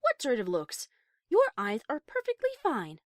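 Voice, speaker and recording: A woman playing a prim, high-class pony cellist with proper, formal manners in an audio story. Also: huge pitch swings, normal speed, no echo, studio quality. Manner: posh, proper, formal wording. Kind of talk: character acting in audio story reading.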